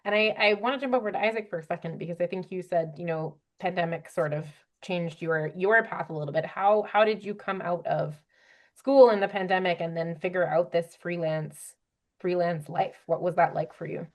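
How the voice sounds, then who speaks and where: Lowish-voiced woman moderating a discussion. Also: fairly even intonation, normal speed. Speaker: panel moderator. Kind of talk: conversational interviewing.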